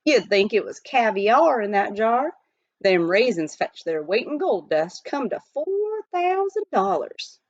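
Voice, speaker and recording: A woman's voice: fairly high pitch, sing-song, measured pace, no room sound, lo-fi sound. A woman voicing a wry, folksy male gold miner with a rustic frontier drawl in a storybook reading.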